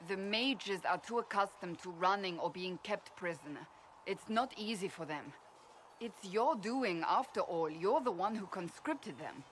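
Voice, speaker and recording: A woman's voice: mid-range voice, animated, unhurried, slight background noise, close to an everyday voice. An actress playing a stern, fair-minded but exasperated female warrior in a video game, blunt, clipped and firm. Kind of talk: video game character dialogue.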